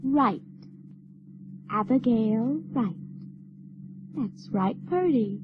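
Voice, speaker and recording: Radio drama role: stubborn backwoods girl of sixteen, Ozarks drawl, high pitch, artless and plain-spoken; muffled recording. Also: animated, very slow. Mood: wistful, coy. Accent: Ozarks rural American. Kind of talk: audio drama acting. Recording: dull lo-fi radio recording, faint noise.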